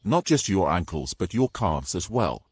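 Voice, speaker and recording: Pompous, low-voiced knight acted by a man. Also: sing-song, a little slow, husky, no room sound, heavily acted. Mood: scolding. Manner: pompous, formal old-fashioned phrasing. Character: domineering, pompous male knight, scornful and controlling. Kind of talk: fantasy audio drama acting.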